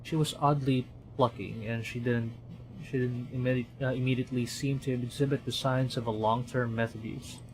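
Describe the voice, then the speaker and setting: A man's voice: mid-range voice, fairly even intonation, normal speed, a little hiss or hum, rough recording. Man dramatically narrating a horror game. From Philippines. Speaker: streamer. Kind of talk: reading a horror visual novel aloud with commentary.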